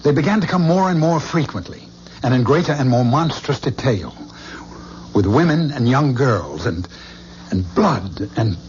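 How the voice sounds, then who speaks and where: A man plays a haunted, brooding man in a vintage radio mystery, with a middling pitch and an intense, halting delivery. The sound is dull and lo-fi, with a faint hiss of old broadcast tape. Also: expressive, darkish tone, natural voice. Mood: agitated. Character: troubled man haunted by visions of a killer. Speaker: lead character. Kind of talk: 1970s radio drama acting.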